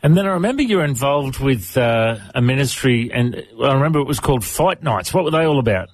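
A man hosting a radio interview, asking relaxed, conversational questions. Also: mid-range voice, fast talker.

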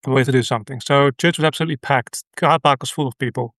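A middle-aged man from the Netherlands with a Dutch accent, a radio guest telling a personal story in an earnest, halting way with many restarts. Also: medium pitch, a bit bright, no room sound, clean studio sound. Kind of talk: conversational interview.

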